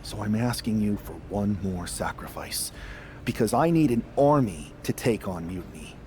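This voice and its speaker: A man's voice: lowish voice, lively intonation, moderate pace, warm tone, slight background noise, lightly acted. A man playing an earnest young wrestler. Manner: earnest, pleading. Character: earnest young male wrestler rallying allies.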